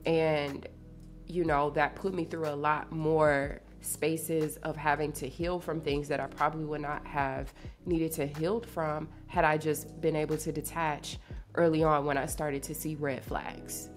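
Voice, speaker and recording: A low-voiced woman in her late twenties talks like she is catching up with friends on her podcast. Her voice sounds congested, and her delivery is informal, slangy and confiding. Soft music sits faintly behind her. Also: flat delivery, measured pace. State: congested from allergies. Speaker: podcast host. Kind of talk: casual chatty solo podcast. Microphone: podcast microphone at a desk.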